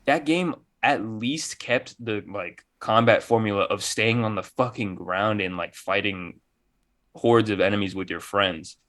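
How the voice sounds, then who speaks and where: Sarcastic, exasperated man with a lowish voice. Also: unhurried, fairly bright tone. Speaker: gaming podcast panelist. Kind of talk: casual, profane panel banter.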